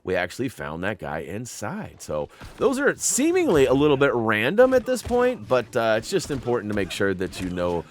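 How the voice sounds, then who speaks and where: A man's voice: mid-range voice, huge pitch swings, normal speed, few pauses. A man narrating a video game walkthrough in a chatty, upbeat way with playful asides. He sounds a bit stuffy and nasal from irritated sinuses. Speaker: gaming YouTuber.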